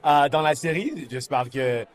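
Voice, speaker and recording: A man's voice: a little high, unhurried. A man with a Canadian French accent, an actor in a casual interview, speaking informally, repeating himself and slipping in English words. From Canada, born in Montreal and grew up in Winnipeg.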